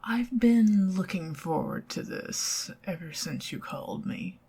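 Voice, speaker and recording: A woman with a Southern US accent talks close and soft, drifting in and out of whispers as if on a quiet date. Her voice sits low and husky, with a gravelly fry in it, and she takes her time with every phrase. She sounds middle-aged and unhurried. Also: animated. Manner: intimate, soft-spoken, giggly, partly whispered. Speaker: ASMR roleplay performer. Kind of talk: ASMR roleplay, soft-spoken date.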